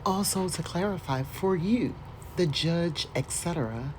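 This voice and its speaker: A woman's voice: lowish voice, lively intonation, unhurried, a little hiss or hum, low-quality recording. An American woman doing a dramatic read-aloud with casual, mocking asides and exclamations, in a theatrical manner. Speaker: host doing a dramatic reading.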